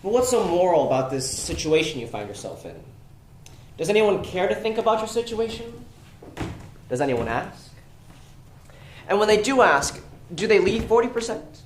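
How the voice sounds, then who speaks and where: A man's voice: high voice, sing-song, moderate pace, pauses often. A man acting in a stage play as a shady, persuasive crime boss who coaxes and evades. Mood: pressing, persuasive. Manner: coaxing, evasive, rhetorical. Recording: echoey room, clear background noise.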